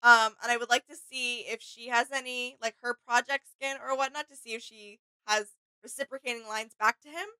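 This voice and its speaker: Young woman, bright higher voice, chatting casually. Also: fairly even intonation, moderate pace, hardly any pauses, low-quality recording. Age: 20s. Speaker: reaction channel co-host. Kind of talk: casual chat. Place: small indoor home studio room.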